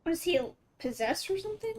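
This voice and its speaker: A woman's voice: very high voice, very animated, a little fast, background noise. A woman doing casual live gameplay commentary as a streamer, with playful, reactive asides. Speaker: game streamer.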